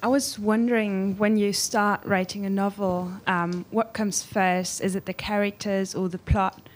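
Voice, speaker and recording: A woman's voice: mid-range voice, level tone, measured pace. A woman from the audience asking a question, polite and hesitant.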